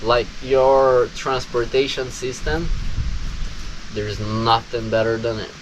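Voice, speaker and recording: Slow, unhurried young Brazilian man. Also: mid-range voice, steady tone, muffled recording, noisy background. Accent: Brazilian Portuguese. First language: Portuguese. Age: 20s. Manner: halting, with many restarts and pauses. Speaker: vlogger. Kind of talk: casual talk to camera. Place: countryside home.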